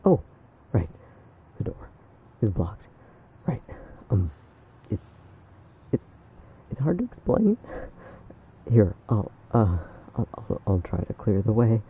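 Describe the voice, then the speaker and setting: A man acting an easily flustered, anxious young boyfriend in an ASMR roleplay, stammering nervously in a breathy, husky voice. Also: on the low side, big pitch swings, takes it slowly, pauses often, narrow, tinny audio, full character voice. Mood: flustered, resigned.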